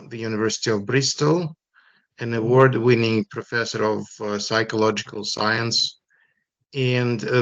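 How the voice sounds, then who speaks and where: A man moderating a panel, introducing the next speaker in a measured, formal manner. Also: lowish voice, fairly bright tone, highs cut off.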